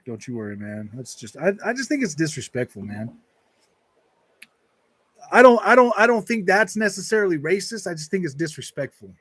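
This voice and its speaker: A man's voice: mid-range voice, dry recording. A man in his early forties chatting casually as a gaming streamer, rambling and repeating himself with lots of dude, man and bro. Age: early 40s. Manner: rambling, repetitive, slangy, calls listeners dude, man and bro. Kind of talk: casual livestream chat, answering and reading out viewer comments.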